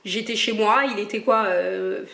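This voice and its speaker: A woman's voice: medium pitch, fairly even intonation, unhurried, vocal fry, fairly bright tone. A young woman from Cameroon, chatting casually as she answers her viewers' questions in a playful, teasing way. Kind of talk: casual Q&A vlog. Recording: echoey room.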